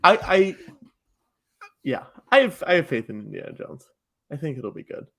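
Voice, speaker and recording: A man talking casually as a podcast guest, in a joking, animated way with chuckles slipping into his speech. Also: fairly high pitch, normal speed, vocal fry. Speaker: podcast guest and live improv comedy performer.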